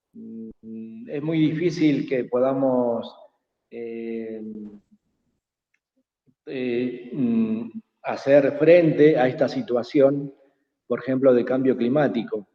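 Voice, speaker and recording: Quechua-native man, unhurried, halting; tinny call audio with a long reverb tail. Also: medium pitch. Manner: hesitant, many pauses and fillers. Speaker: invited speaker. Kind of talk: slow reflective talk in an online workshop. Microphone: video call.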